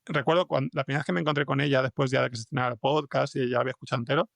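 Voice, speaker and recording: Male podcast guest, Spain accent, gravelly and quick, halting conversational storyteller, dry close sound. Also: medium pitch, steady tone, a bit bright, no room sound. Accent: Spanish (Spain). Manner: halting, restarts sentences often. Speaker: podcast fiction writer, interview guest. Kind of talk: conversational interview, anecdotal storytelling.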